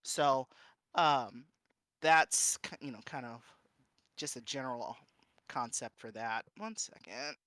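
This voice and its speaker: A man presenting as a streamer in an informal instructional style, halting and often interrupting himself with "you know". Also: mid-range voice, bright, forceful tone, dry recording.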